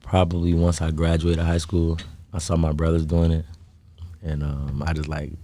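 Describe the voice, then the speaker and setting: A young man from Chicago's south suburbs, a guest musician talking in a low-key, hesitant way with lots of fillers. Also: monotone, moderate pace, mellow, dry recording. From South Holland, south suburbs of Chicago. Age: young adult. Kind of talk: casual interview chat.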